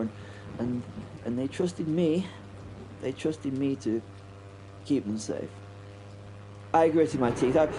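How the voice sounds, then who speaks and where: An actor playing a haunted but plucky biplane pilot, telling his story in a halting, wryly understated horror audio drama monologue. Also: fairly high pitch, dark tone, a little hiss or hum, lightly acted. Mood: grieving, resolute. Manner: halting, repeating words, wry understatement. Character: British First World War biplane pilot, haunted, plucky, recounting battle.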